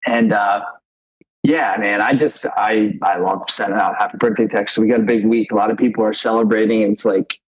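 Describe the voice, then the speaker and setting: Podcast co-host, man, 30, husky and a bit low, loose rambling delivery. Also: fairly even intonation, brisk, poor audio. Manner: rambling, repeats himself, lots of fillers. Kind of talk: casual chat.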